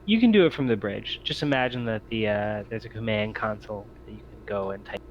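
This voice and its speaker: A man's voice: lowish voice, brisk. An easygoing man narrating a tabletop roleplaying game as game master in a casual, conversational way. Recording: slightly dull audio, faint background noise.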